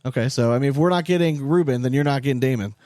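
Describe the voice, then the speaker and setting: A man's voice: mid-range voice, racing, a little mellow, no room sound. A man hosting a podcast, chatting casually about sport in an informal, emphatic way with some swearing. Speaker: podcast host.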